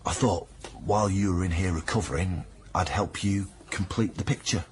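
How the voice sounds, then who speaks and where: Radio play: male actor as gentle, concerned partner, low-pitched natural delivery over noticeable background noise. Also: fairly even intonation, normal speed, lightly acted. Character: earnest, concerned male partner of a troubled woman. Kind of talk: naturalistic radio drama dialogue.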